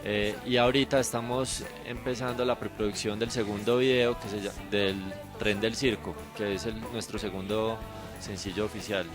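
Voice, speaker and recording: A man, speaking in a band interview and pausing with frequent fillers. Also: fairly low pitch, steady tone, over music. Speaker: band member. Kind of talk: casual interview answers.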